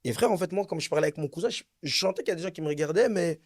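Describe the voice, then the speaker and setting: A young man tells a wild story in a casual chat. His voice sits a little high and he talks in an animated, slangy way, hammering his points home by repeating them. The sound is close and dry. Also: very fast, dry recording. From Belgium, Brussels.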